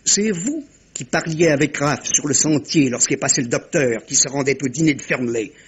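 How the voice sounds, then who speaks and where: An actor playing a shrewd, self-assured middle-aged detective in a French radio mystery, commanding and theatrical, speaking with deliberate dramatic pauses. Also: male voice, fairly high pitch, slightly rough. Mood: grave, probing. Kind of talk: 1950s-style French radio mystery acting.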